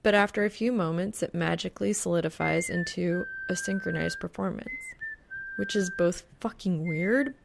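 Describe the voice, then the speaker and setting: A woman narrates a fan fiction audiobook from a script. Her voice sits a little low for a woman and carries a clear creak as she reads the story. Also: huge pitch swings, moderate pace, noisy background.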